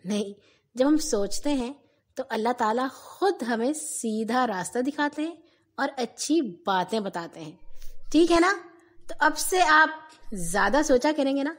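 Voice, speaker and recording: A woman narrates moral tales for young children. Her voice sits on the high side and she takes her time with every phrase. She is expressive and teacherly, addressing her young listeners directly. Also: unhurried. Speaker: storyteller for children.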